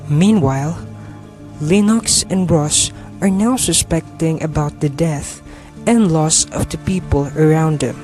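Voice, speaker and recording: A man's voice: fairly high pitch, a little slow, darkish tone, faint music behind. A man reading scripted story narration for an amateur radio drama.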